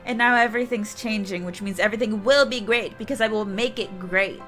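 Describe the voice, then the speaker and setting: A woman's voice: medium pitch, brisk, a bit bright, soft background music. A woman streamer chatting casually to her viewers, rambling and often restarting her sentences. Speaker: game streamer.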